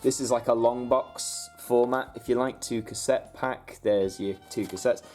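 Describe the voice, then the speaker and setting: A man's voice: medium pitch, fairly even intonation, moderate pace, darkish tone, faint music behind. A British man presenting a casual vlog guide to camera in a chatty, informal, demonstrative way.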